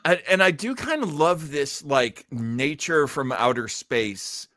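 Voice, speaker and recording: Guy hosting casual chat, bright voice, halting musing, dry close sound. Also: fairly high pitch, expressive, dry recording. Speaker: host of online listening party. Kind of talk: casual discussion.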